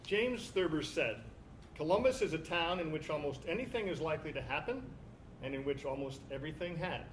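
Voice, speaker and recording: Man, slightly high pitch, stage reader, literary delivery, live recording, faint noise. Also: expressive, brisk.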